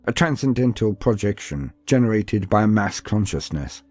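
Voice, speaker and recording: A low-pitched, husky man narrates a scripted tale in a theatrical way. His delivery swings widely in pitch and leans on dramatic pauses and asides, with music playing underneath. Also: animated, a little mellow. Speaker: narrator of a lore guide.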